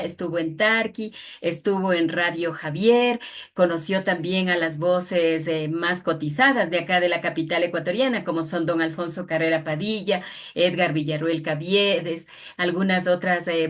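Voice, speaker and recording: A woman hosting an interview in a polite, deferential, conversational way. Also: on the low side. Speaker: interviewer, host.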